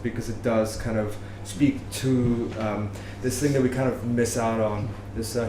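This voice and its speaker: A man from Lebanon giving a thoughtful, halting answer as a researcher on a discussion panel. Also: on the low side. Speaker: panelist, researcher of street art. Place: bar venue, live audience discussion. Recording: echoey room, background noise, live event.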